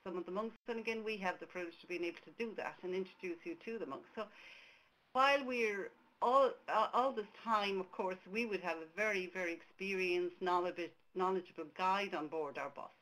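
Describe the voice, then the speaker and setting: A woman's voice: on the low side, brisk, fairly bright tone, poor audio. A woman from Ireland, a native Irish speaker with an Irish accent, giving a conversational webcast presentation over a webcam mic with frequent restarts. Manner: conversational, many restarts and fillers. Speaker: tour operator presenter.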